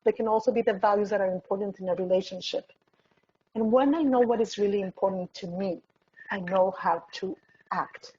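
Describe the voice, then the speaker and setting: A woman with a Mexican accent, a native Spanish speaker with a husky voice, speaking as a personal development coach in a motivational talk, addressing listeners directly. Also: mid-range voice, fairly quick, a little mellow. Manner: direct address with rhetorical questions. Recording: dull, slightly muffled lo-fi sound.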